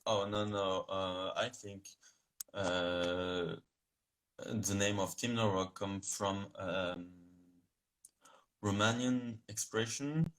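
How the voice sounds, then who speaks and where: A young man from France with a French accent, answering interview questions in English over a video call, halting and searching for words. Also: fairly low pitch, fairly even intonation, a bit bright. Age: young adult. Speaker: guest.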